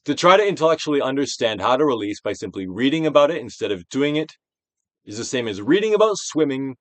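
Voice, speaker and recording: A man gives a dramatic, stage-trained reading of a self-help book, emphatic, with drawn-out phrases that swell and drop to a hush. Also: medium pitch, sing-song, no room sound. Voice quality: trained stage-actor delivery. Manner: emphatic, drawn-out phrases with big dynamic swells and hushed drops. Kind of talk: theatrical dramatic audiobook reading.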